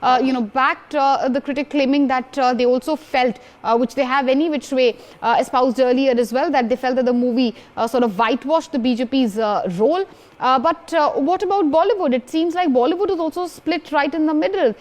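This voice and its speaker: A woman with an Indian accent anchoring a live news interview, putting long, halting questions to a guest. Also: high voice.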